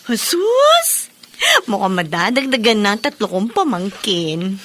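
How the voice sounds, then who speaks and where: Sassy, animated young Filipino aunt. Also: female voice, medium pitch, unhurried, a little hiss or hum. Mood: sassy teasing. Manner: sassy, bantering. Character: cheeky single younger sister, doting aunt, sassy. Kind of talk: radio drama acting.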